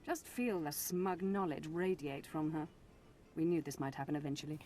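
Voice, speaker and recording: A woman's voice: low voice, moderate pace, mellow, slight background noise, close to an everyday voice. A woman playing a condescending, controlling noblewoman in a video game, with disdainful aristocratic drawl and endearments.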